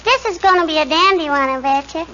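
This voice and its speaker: A woman's voice: extremely high pitch, lively intonation, fast, pushed and bright, full character voice. A woman playing a cheeky, inquisitive little girl in a 1940s radio comedy, with a childish, repetitive questioning manner. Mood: teasing, proud. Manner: childish, repeats questions and tags. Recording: muffled noisy 1940s radio recording, studio audience.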